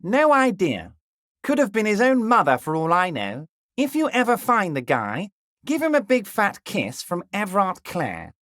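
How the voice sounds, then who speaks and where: An actor voicing a jovial, scheming middle-aged union boss in a video game, smooth and ingratiating, dropping low and soft on pet names, crude at times. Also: male voice, wildly swooping pitch, moderate pace, no echo, clean studio sound, exaggerated voice. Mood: smug, ingratiating. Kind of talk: video game character dialogue.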